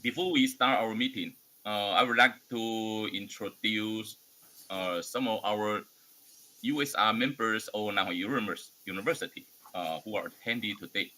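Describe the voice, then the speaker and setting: A man with a Taiwanese accent and Mandarin as his first language, hosting an online academic forum with a formal but halting, hesitant delivery. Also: lowish voice, sharp tone, slight background noise, low-quality recording. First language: Mandarin Chinese. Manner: halting, hesitant non-native English delivery. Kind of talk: formal welcome speech.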